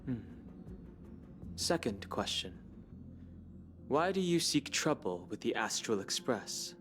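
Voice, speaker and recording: A calm, curt young guardian. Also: male voice, mid-range voice. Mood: guarded. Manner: curt, measured questioning. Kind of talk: video game cutscene acting. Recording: game audio with background music.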